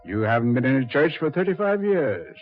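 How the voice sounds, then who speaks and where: An actor playing a suave, mocking Devil in a measured, mock-polite 1940s radio horror style, on a muffled old recording. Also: male voice, mid-range voice, big pitch swings, lightly acted. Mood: sardonic. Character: the Devil, suave, mocking, coldly taunting male master of hell.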